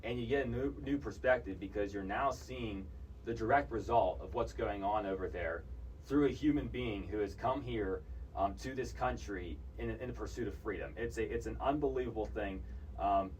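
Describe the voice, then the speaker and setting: A man's voice: fairly low pitch, a little mellow, recorded from a distance, a little hiss or hum, rough recording. A young man hosting a college radio podcast, talking in a rambling way with many restarts. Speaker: podcast host. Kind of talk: casual college radio chat. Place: college campus radio studio.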